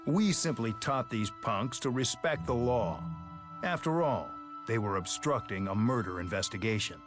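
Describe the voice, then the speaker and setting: An actor playing a sardonic, mock-polite police detective in a video game, smug and theatrical. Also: male voice, medium pitch, lively intonation, normal speed, music in the background, natural voice. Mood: smug, taunting. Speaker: detective character. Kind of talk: English video game dub acting.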